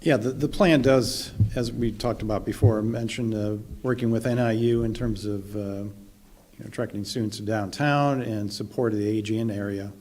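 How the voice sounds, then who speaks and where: Planner guy answering questions, mellow lowish voice, kinda hedgy. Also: fairly even intonation, slight background noise. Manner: measured, hedging with fillers.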